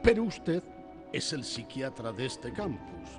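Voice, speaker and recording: An actor with a Castilian Spanish accent playing a wily, chatty homicide lieutenant who questions in a rambling, insinuating way, in a radio drama. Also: male voice, medium pitch, sing-song, unhurried. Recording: music under the voice.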